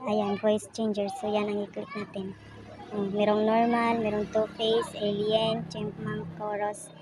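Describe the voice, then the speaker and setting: A woman with a Filipino accent giving a casual step-by-step tutorial in a halting, self-correcting way, like someone demonstrating as she goes. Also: medium pitch, completely flat delivery. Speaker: tutorial presenter. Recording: noisy home recording with animal sounds.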